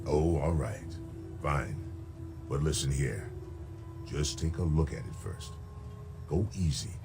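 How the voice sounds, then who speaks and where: An actor playing a gruff, plainspoken frontier sheriff in a video game, wary but decent, with folksy, blunt talk. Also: male voice, a bass voice, animated, slow pace, full character voice. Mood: grudging. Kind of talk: video game character dialogue. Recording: music behind the voice.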